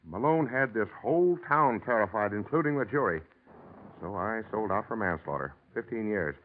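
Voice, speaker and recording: Through a tinny old radio recording comes an actor as a grave middle-aged male district attorney. His voice moves widely in pitch, with the weight of a boss under threat in a vintage radio drama. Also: expressive, normal speed, a little hiss or hum, lightly acted. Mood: grim, resigned. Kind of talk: 1940s radio acting.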